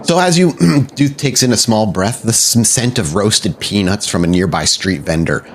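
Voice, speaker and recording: A man's voice: lowish voice, normal speed, slight background noise. A man narrating a tabletop roleplaying game descriptively as game master.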